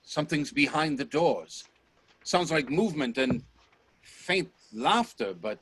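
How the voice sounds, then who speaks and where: Casting: male actor as obsessive Edwardian inventor, high-pitched husky voice, urgent and demanding, radio drama. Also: animated, a little slow, a bit bright, no echo, full character voice. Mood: urgent, determined. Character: driven, hot-tempered male Edwardian inventor, stubborn and obsessive. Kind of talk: radio play acting.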